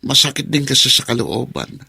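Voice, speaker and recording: An actor playing a hesitant, wheedling middle-aged man making peace with relatives in a radio drama, gravelly and halting. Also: mid-range voice, big pitch swings, pushed and bright, exaggerated voice. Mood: apologetic, pleading. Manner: halting, placating.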